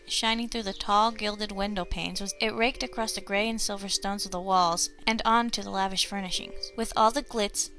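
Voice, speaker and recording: A woman's voice: medium pitch, bright, forceful tone. A woman reading a children's story aloud as scripted narration. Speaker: storybook reader. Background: background music.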